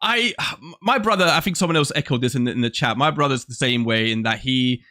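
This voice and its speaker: A man's voice: medium pitch, lively intonation, brisk, bright, forceful tone. A man in his forties hosting a casual gaming chat show, rambling with lots of restarts and reading from the live chat. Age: 40s. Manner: rambling, many restarts, laughs often. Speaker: podcast host. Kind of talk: casual video game chat.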